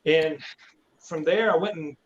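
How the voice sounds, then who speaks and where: A middle-aged man with a Texas accent chats easily as an interview guest, his voice sitting slightly high. He rambles through his story in a folksy way. A faint noise hangs under the recording. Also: unhurried, highs cut off. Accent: Texan. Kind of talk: casual interview chat.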